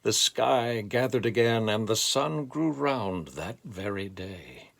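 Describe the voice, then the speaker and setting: A man with a gravelly voice speaking in a deliberate, measured way, as a poetry reader reflecting and reciting expressively. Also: lowish voice, lively intonation. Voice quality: gravelly, creaky voice.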